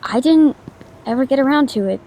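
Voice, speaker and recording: A woman's voice: high voice, lively intonation, fairly quick, slight background noise, rough recording. A woman voicing a shy, awkward young female ghost in an intimate audio drama, stammering, hesitant and apologetic, anxious but kind. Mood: gentle, sad. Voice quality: soft stammer. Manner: hesitant, apologetic, self-doubting, rambling.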